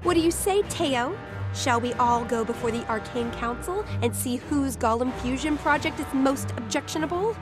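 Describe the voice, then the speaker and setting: Woman as a brisk, high-voiced sardonic gnome inventor. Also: music in the background, natural voice. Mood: sardonic, scornful. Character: sharp-witted female gnome-like inventor, confident and sardonic. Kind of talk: video game cutscene acting.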